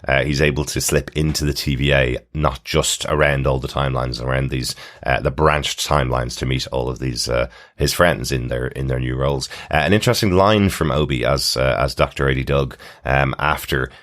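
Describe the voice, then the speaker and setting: A man's voice: rumbling low, moderate pace, hardly any pauses, fairly bright tone. A man co-hosting a podcast in a casual, conversational TV recap style. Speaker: podcast co-host.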